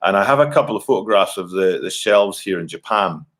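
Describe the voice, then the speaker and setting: Rambling man in his forties, low voice. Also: animated, a little fast, fairly bright tone. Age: 40s. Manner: rambling, many fillers and restarts. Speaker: livestream host. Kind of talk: casual live-stream chat. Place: home, at a computer. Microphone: computer webcam mic.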